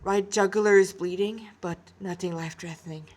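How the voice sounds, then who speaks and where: A woman's voice: medium pitch, fairly even intonation, brisk, darkish tone, lightly acted. A woman voicing a young cadet boy in an audio story, shaken, grim and hesitant. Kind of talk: dramatic amateur audio story.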